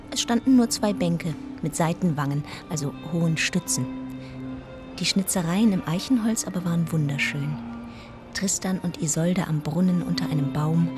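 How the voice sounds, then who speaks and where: An actress playing an observant, gently wry woman narrator, telling her travel story in a measured radio-drama style. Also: fairly low pitch, natural voice. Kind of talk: scripted first-person narration. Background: music.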